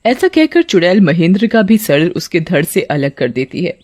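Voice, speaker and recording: A woman's voice: lowish voice, big pitch swings, moderate pace, noisy background. A woman narrating a scripted cartoon horror story.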